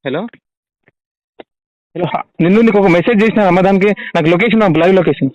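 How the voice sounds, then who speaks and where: A man's voice: high voice, fast, darkish tone, no room sound. A man with a Telangana Telugu accent speaking as a police officer on an urgent phone call, insistently repeating short questions and instructions. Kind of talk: urgent emergency phone conversation. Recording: close dry phone call recording.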